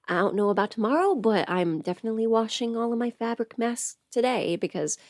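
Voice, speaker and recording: A woman's voice: on the high side, expressive, a little fast, a little mellow, no room sound, studio quality. A woman who is sick, chatting casually on a livestream as host, thinking aloud and interrupting herself. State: sick at home with covid. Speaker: livestream host, audio creator. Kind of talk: casual rambling livestream chat. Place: at home.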